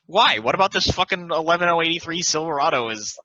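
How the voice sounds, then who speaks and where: A man's voice: fairly high pitch, expressive, fast, sharp tone, no room sound, lo-fi sound. A man reading the part of a brash, foul-mouthed friend, arguing in slangy banter at a play table read. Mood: exasperated. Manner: combative, slangy.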